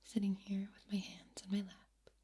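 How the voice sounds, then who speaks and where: A woman speaking softly in a breathy ASMR whisper, close to the mic from ear to ear, lingering on and repeating words. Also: medium pitch, monotone, normal speed. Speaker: ASMR video creator. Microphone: close microphone, speaking ear to ear.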